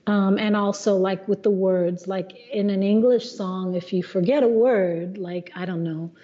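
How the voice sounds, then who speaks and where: A middle-aged woman who sings jazz talks about her craft in a relaxed, thoughtful way, often pausing and restarting her sentences. Her voice is mellow and warm rather than bright. The recording is a little dull and lo-fi. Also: mid-range voice. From Los Angeles, USA. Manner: thoughtful, many restarts and fillers. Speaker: jazz singer, guest. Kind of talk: casual conversation.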